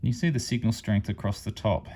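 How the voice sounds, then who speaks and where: A man with a fairly low voice walks through a product demonstration in a casual, hands-on style. He explains things step by step and stays matter-of-fact, like a hobbyist reviewer hosting a channel. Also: brisk, dark tone, husky. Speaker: amateur radio reviewer and channel host.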